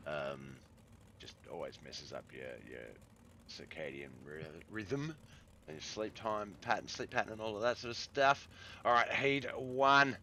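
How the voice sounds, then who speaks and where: An Australian man doing relaxed play-by-play commentary on a live-stream sim race, reading out lap times, with a hoarse, congested voice. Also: lowish voice, a little slow, slight background noise. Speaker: sim racing league commentator.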